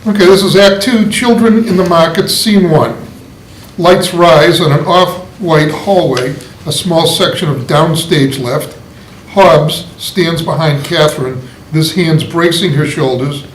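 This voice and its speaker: A man with a somewhat high voice reads out stage directions from a script. The delivery is scripted narration. The room is echoey, with faint noise behind. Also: unhurried.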